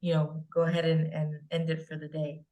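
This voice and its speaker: A woman's voice: deep voice, monotone, fairly quick, dark tone, muffled recording. A woman hosting a webinar interview over a video call, talking in an upbeat, chatty way with lots of fillers. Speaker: podcast and webinar host.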